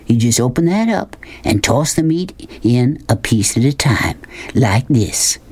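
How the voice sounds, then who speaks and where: A woman reading a storybook as a gruff, mocking, bullying rural man. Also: very deep voice, big pitch swings, measured pace, a little mellow, full character voice. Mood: pushy, instructing. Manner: folksy, pushy, mocking.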